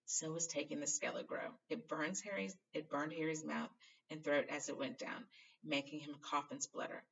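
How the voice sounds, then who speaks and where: A woman's voice: deep voice, steady tone, moderate pace, gravelly, distant mic, lo-fi sound. A woman reading a children's novel aloud expressively, sometimes stumbling and correcting herself. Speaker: storybook reader.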